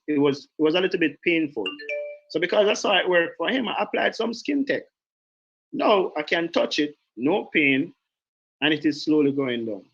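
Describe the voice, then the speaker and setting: Brief: Jamaican man, fairly high voice, rambling trainer on a muffled call. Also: a bit bright, slightly rough. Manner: chatty, repeats himself, goes off on tangents. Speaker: sales team leader giving a training. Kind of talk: casual online training call.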